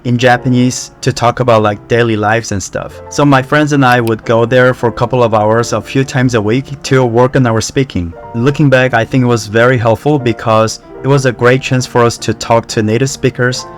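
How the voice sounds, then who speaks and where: A young man from Korea with a Korean accent, talking to camera in a relaxed, conversational way about how he learned a language. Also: mid-range voice, normal speed, few pauses, faint music behind. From South Korea. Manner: relaxed, conversational, with fillers like "you know" and "like". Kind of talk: casual talk to camera.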